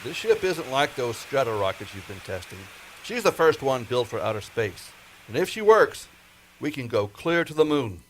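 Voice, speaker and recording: A male amateur actor plays a rocket project chief in a fifties-style radio drama. He instructs insistently in a medium-pitched male voice, plain-spoken and careful, as one responsible for a dangerous job. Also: big pitch swings, normal speed, slight background noise, close to an everyday voice. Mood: businesslike, insistent. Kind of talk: amateur retro 1950s sci-fi radio play acting.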